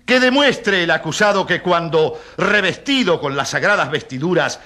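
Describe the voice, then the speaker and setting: A man's voice: on the high side, lively intonation, normal speed, sharp tone. A man playing an indignant, pompous prosecutor in a radio courtroom drama, oratorical and sarcastic, with emphatic rhetorical questions. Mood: challenging. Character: indignant, pompous male prosecutor in a church trial.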